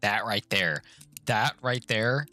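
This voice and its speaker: A man's voice: lowish voice, slow pace, bright, forceful tone. A man live-streaming a game, reacting in casual, blunt, slangy commentary.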